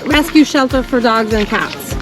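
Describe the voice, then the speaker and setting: High-voiced woman hosting a casual vlog. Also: normal speed, rough recording. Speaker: vlog host. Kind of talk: casual vlog chat and questions. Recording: music and chatter on handheld camera.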